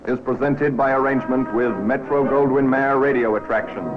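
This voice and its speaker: A man reading credits as a vintage radio announcer, formal and measured. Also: medium pitch, steady tone. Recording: muffled old radio recording over music.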